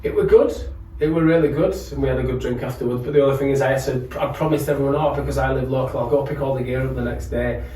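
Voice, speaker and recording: A man's voice: medium pitch, very fast, hardly any pauses, mellow. A man chatting casually as a podcast guest, telling anecdotes with jokey, sweary banter. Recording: roomy, distant mic with faint noise.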